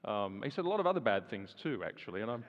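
A man's voice: fairly low pitch, very animated, quick pace. A man giving a sermon-style talk to a live audience, speaking conversationally with fillers and rising emphasis. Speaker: guest speaker. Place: large hall with audience. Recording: dull sound with long hall reverb.

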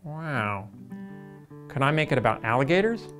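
A man's voice: medium pitch, sing-song, normal speed, fairly bright tone, faint music behind, pitched below the performer's own voice. A man voicing an eager, curious young alligator puppet that asks childlike, wondering questions. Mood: curious, amazed.

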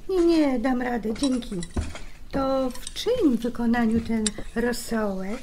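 An actress playing a plain-spoken, firm convalescing wife in a naturalistic radio drama, talking in a conversational way. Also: female voice, on the high side, expressive, takes it slowly, background noise. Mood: matter-of-fact.

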